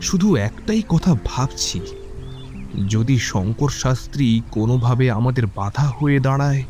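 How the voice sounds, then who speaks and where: A man's voice: medium pitch, animated, a little slow, mellow, faint music behind, lightly acted. An actor playing a troubled but determined young man in an audio drama, with a hesitant, brooding way of talking. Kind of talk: Bengali audio drama acting.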